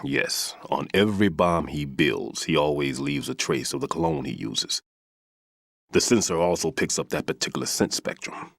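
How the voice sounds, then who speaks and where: An actor playing an older, weary bomb disposal expert in a video game, measured and instructive with a folksy turn. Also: male voice, very deep voice, animated, vocal fry, dry recording, clean studio sound, heavily acted. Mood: rueful, reflective.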